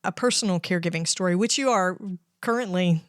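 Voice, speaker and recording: A woman hosting a podcast interview, asking brisk, friendly questions. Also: lowish voice, no room sound, clean studio sound. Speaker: podcast host.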